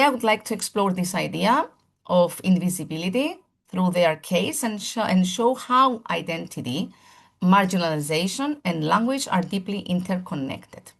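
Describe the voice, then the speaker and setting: A woman's voice: medium pitch, vocal fry. A woman, a primary school teacher, giving a seminar presentation in formal lecture phrasing, earnest and often restarting as she searches for words.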